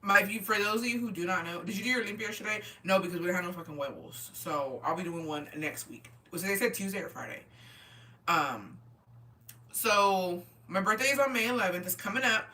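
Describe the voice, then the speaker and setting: Woman in her twenties, very bright forceful voice, tipsy, casual livestream chat, slangy and sweary, Spanish words mixed in, a bit far from the mic. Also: medium pitch, animated, a little fast. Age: 20s. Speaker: livestream host chatting with viewers. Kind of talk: casual livestream chat, reading and answering comments. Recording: voice distant from the microphone.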